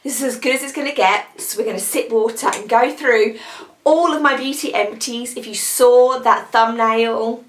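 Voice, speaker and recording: A young British woman with a croaky voice from a cold, chatting casually as she reviews beauty products she has used up. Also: a little high, moderate pace, roomy sound. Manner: chatty, rambling, upbeat. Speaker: beauty vlogger.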